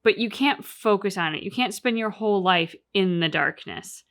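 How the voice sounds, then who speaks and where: A woman's voice: mid-range voice, moderate pace, a bit bright. Female reaction host, conversational and earnest, thoughtful casual discussion, natural mid-range voice. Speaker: host of a game reaction channel. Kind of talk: casual discussion of a game's story.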